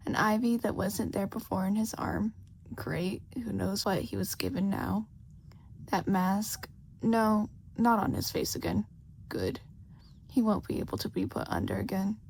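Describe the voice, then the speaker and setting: A woman's voice: mid-range voice, vocal fry, darkish tone, a little hiss or hum, rough recording. A woman reading a scripted story aloud as the narrator of a fan fiction audiobook. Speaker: podfic reader, narrator.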